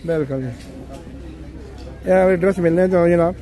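A man's voice: high-pitched, normal speed, warm tone, a faint crowd. A man who runs a shopping vlog. He tours the stalls of a busy indoor bazaar, talks to the sellers and keeps saying mashallah. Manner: upbeat, says mashallah often. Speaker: vlogger touring shops. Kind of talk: walkaround shopping vlog chat.

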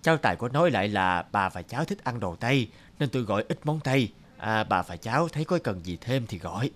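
A man's voice: lowish voice, lively intonation, fast talker, fairly bright tone. A man voicing a courteous, kindly middle-aged company director in a cartoon. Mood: welcoming. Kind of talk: animated cartoon dubbing.

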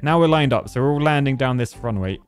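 A man's voice: medium pitch, lively intonation, fast. British man doing chatty gameplay commentary. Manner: jokey, chatty, thinking aloud to the planes. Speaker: gaming video host. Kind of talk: casual live gameplay commentary.

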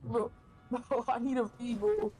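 Very high-voiced man, insistent and slangy. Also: very animated, unhurried, darkish tone, soft background music, rough recording. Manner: pleading, insistent, slangy, tags phrases with bro. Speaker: roleplay game player. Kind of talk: casual in-character roleplay argument. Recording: game chat with faint vehicle noise.

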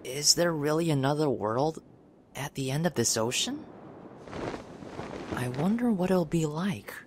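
A woman voicing an excitable, dreamy young boy who is earnest and easily flustered, in a manga dub. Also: sits low, a little slow, noisy background. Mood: dreamy, musing. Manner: earnest, animated. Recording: loud music under the voice.

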